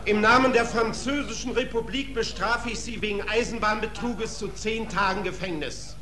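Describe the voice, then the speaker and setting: Actor as a high-voiced, stern courtroom judge. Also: male voice, fairly even intonation, normal speed, lightly acted. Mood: stern, official. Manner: curt, commanding, formal. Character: stern, officious French male judge sentencing a prisoner. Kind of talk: 1940s German radio play acting. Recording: old 1940s radio recording, crowd murmur.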